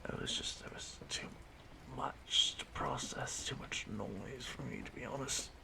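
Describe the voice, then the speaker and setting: A man playing an ageless stalker in an audio roleplay, speaking to his prey in a hushed, halting, softly menacing way, often breathy and whispering. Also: medium pitch, a crowd in the background. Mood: taunting, admiring. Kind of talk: audio roleplay monologue addressed to the listener.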